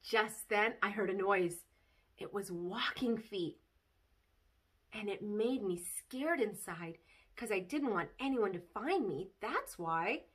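An excitable, silly little storybook girl, as a woman reader plays her, chattering about her mischief. The delivery is bright and bubbly, with dramatic swoops in pitch. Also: slow, husky, heavily acted. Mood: scared. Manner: childlike phrasing, dramatic, bubbly. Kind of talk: expressive children's storybook read-aloud.

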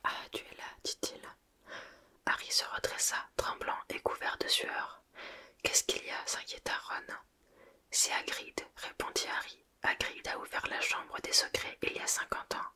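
A speaker reading a novel aloud in a soft, unvoiced whisper and then reviewing it, close to the microphone. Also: normal speed. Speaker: book reader and reviewer.

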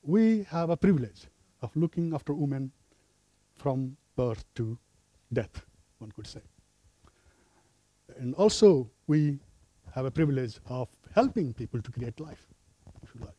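A middle-aged man from Nepal giving an academic lecture as a professor of obstetrics, speaking in a measured, hesitant way with fillers. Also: medium pitch, animated, warm tone, dry recording, highs cut off. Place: lecture room with a projector.

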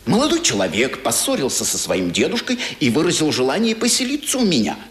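A man's voice: on the high side, huge pitch swings. An actor playing an unctuous, hypocritical middle-aged father and tutor in a pompous, theatrical radio play style. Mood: pious, self-righteous. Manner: theatrical, pompous, drawn-out delivery. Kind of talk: 1970s Soviet radio play acting.

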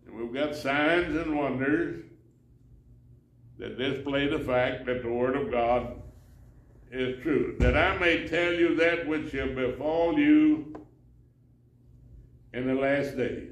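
A man's voice: a little high, fairly bright tone. An older man preaching a sermon while seated in a church sanctuary, reading scripture and gesturing with his hands. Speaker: pastor. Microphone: far camera. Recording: roomy church echo, from far off.

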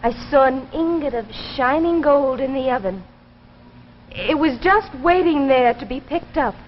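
A woman's voice: high voice, lively intonation, measured pace, slight background noise. An actress in her twenties playing an anguished, devoted daughter in 1950s television drama, with pleading, melodramatic delivery. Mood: coaxing. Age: 20s. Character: anguished, devoted young daughter of failing inventor. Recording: muffled old TV soundtrack, faint music.

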